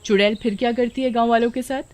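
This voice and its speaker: A woman's voice: on the high side, fairly even intonation, fast talker, darkish tone, no room sound. A woman reading scripted narration for a children's story.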